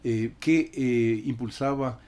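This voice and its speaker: A man hosting an academic radio interview in a courteous, halting manner, searching for his words. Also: mid-range voice, a little mellow. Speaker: radio program host and interviewer.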